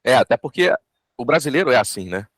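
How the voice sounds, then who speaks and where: A man's voice: mid-range voice, big pitch swings, fast talker, dry recording, clean studio sound. A Brazilian man chatting casually as he takes part in a discussion about creative work. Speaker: audio drama team member in a discussion.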